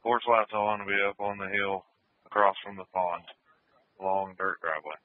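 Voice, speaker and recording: A man's voice: sits low, fairly even intonation, normal speed, no echo, narrow, tinny audio. A man working as a police radio dispatcher, giving terse procedural updates and repeating road names over a scanner. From United States. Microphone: radio dispatch microphone.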